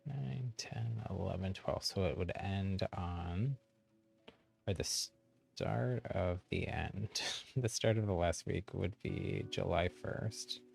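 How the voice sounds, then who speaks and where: A man chatting casually on a game livestream, thinking aloud in a halting way. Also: low-pitched, creaky, a little mellow, soft background music. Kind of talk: casual live gaming chat.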